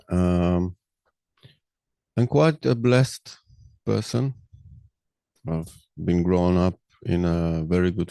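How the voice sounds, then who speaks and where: A middle-aged Israeli man with a low, gravelly voice speaks English as a second language, slow and halting, full of ums and uhs. His tone is dark and mellow, and the sound is dry and close. He talks through his views in a loose personal monologue. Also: dry recording. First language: Hebrew. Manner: slow, halting, many fillers. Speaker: podcast host.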